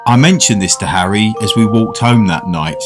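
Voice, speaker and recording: A man's voice: on the low side, moderate pace, lightly acted. An older man with a British accent playing a genteel, reflective diner who narrates a macabre tale in a radio drama. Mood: reflective narration. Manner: courteous, literary storytelling. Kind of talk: fan-made radio drama, first-person narration and dialogue. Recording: music under the voice.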